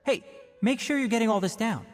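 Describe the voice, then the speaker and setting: A man's voice: high-pitched, brisk, in a large hall, over loud music, natural voice. A man voicing a young forest ranger scholar in a video game, stern and principled, with a dry, precise lecturing manner. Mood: hushed, focused. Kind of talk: video game character acting.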